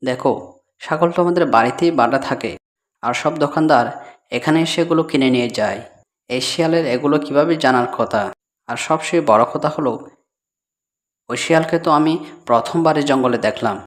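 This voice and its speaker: A man voicing a poor, earnest father fox in an animated cartoon, with music behind. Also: fairly high pitch, normal speed, pauses often, natural voice. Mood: puzzled, reasoning. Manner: earnest, pleading.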